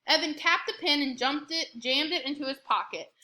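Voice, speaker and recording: A woman reads a children's chapter book aloud as a storyteller. Her voice sits a little high and has a very bright, forward edge. The delivery is expressive and clearly scripted. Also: moderate pace. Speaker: read-aloud narrator.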